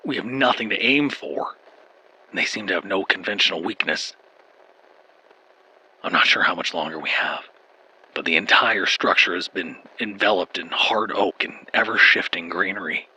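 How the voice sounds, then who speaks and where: A man narrating a scripted horror story as a frightened, doomed soldier, in a grim, deliberate, formal manner. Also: deep voice, sharp tone, husky, heavily acted, low-quality recording. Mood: doomed dread.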